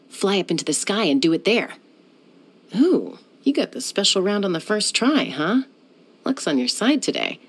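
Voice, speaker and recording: An actress voicing a young warrior woman who is a peacemaker, speaking in a calm, measured way with a dry, teasing edge. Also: on the low side, husky, exaggerated voice. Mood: friendly. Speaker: game character. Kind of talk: game voice lines.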